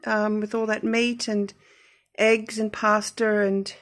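An older Australian woman vlogging casually about her budget grocery shop, listing items with lots of ums and pauses, recorded on a phone. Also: mid-range voice, level tone. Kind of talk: casual grocery haul vlog. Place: inside a parked car. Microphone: phone camera at arm's length.

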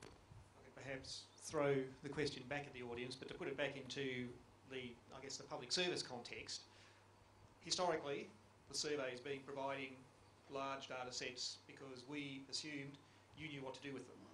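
A man's voice: mid-range voice, steady tone, moderate pace, a bit bright. A middle-aged man on a conference panel speaking into a handheld mic, reflective and putting questions to the audience. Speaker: geological survey panelist. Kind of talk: panel discussion remarks. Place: conference room. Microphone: handheld microphone. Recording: echoey conference room, faint hiss.

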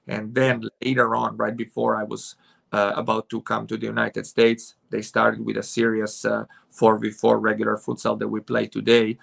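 A man's voice: lowish voice, completely flat delivery, normal speed, creaky. A man in his forties from Serbia with a Serbian accent, a futsal coach speaking hesitantly with many fillers on a video call from home. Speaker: futsal head coach, guest. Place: room at home with curtains.

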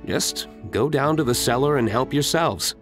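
A man playing a reserved, brooding young nobleman in a video game, calm, formal and measured. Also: medium pitch, close to an everyday voice. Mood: calm, generous. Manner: composed, formal, measured delivery. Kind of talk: video game character dialogue. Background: background music.

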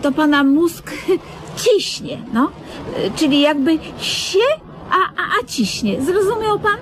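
A woman's voice: high-pitched, expressive, slow. An actress playing an exasperated, fussy woman in a comedic radio play, explaining things with flustered stammers. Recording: noisy old radio play recording.

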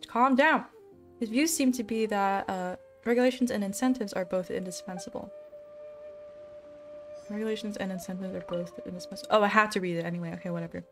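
Casting: young female game streamer, sassy offhand commentary, music faintly behind. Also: medium pitch. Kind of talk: casual chat, live game commentary.

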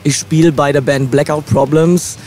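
Hoarse young man, slightly high voice. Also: fairly even intonation, normal speed, darkish tone. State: hoarse from shouting. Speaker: guest musician. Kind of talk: casual interview. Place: inside a van at a festival. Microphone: handheld mic.

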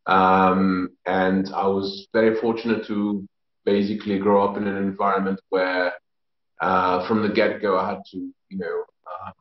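A man in his forties, a religion scholar from Luxembourg whose first language is Portuguese, answering interview questions thoughtfully with frequent pauses and restarts, on a video call from a room at home. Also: low-pitched, level tone, echoey room, muffled recording. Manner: thoughtful, halting, many fillers and restarts.

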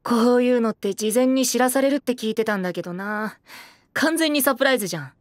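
A man's voice: very high-pitched, quick pace, no room sound, clean studio sound. A man playing a bitter, self-deprecating teenage boy idol and former child star in a Japanese audio drama. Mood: flippant, sulky. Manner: cheeky, self-deprecating, theatrical.

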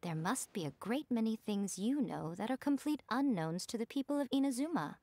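A woman's voice: fairly high pitch, expressive, fairly quick, warm tone, a little hiss or hum. A sly, poised fox-spirit lady. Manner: smooth, teasing, self-assured. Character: sly, elegant fox-spirit woman running a publishing house. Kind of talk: video game voice acting.

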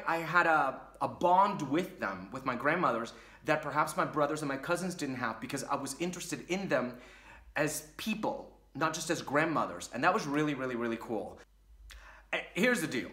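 A man in his thirties from Puerto Rico, telling a story to camera in a casual, animated way while gesturing a lot. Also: on the high side, echoey room. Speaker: vlogger. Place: small dim room with curtain behind.

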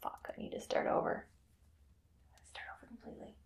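A woman in her thirties speaking in a hushed ASMR roleplay style, slowly and deliberately. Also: roomy sound, recorded from a distance. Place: small dim room indoors.

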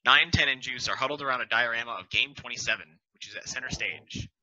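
Man reading stage directions, bright voice. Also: medium pitch, fast, no echo. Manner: plain, steady reading. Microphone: video call headset. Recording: dull lo-fi call audio.

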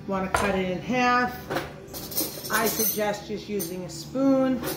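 A woman in her thirties walks through a recipe in her home kitchen with upbeat, chatty instructions. Her middling voice carries a roomy echo from the kitchen, and music plays clearly underneath. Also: mid-range voice, a little slow. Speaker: chef. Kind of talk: cooking demonstration. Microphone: camera in front, mid-distance.

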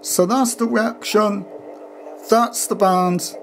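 Middle aged guy showing his stuff at home, bit high pitched, chatty rambling, some hum on the camera audio. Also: steady tone, takes it slowly, a bit bright. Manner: rambling, matter-of-fact asides. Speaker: vintage radio hobbyist presenting own collection. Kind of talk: informal home video demonstration. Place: room at home, indoors. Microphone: handheld camera, speaker behind it. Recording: handheld camera audio with clear hum noise. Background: electrical hum from old radio equipment.

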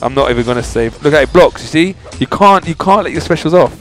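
A man's voice: a little high, lively intonation, quick pace. A man hosting a gaming channel, chatting casually and in exasperation to his viewers as he comments on his play. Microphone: voice-over over mobile game footage.

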